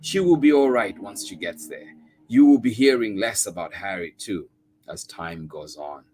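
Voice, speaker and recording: A man's voice: on the low side, lively intonation, moderate pace, a little mellow, faint music behind, lightly acted. A man voicing a practical, teasing husband in a storybook reading. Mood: hearty. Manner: hearty, firm.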